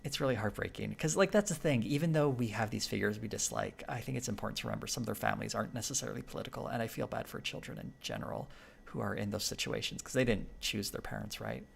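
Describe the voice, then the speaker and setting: Male streamer, raspy, heavy gravel in the voice, loose rambling delivery. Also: on the low side, expressive, fast talker, a little hiss or hum. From Canada. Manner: rambling, many fillers and restarts. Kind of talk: casual live-stream chat with viewers.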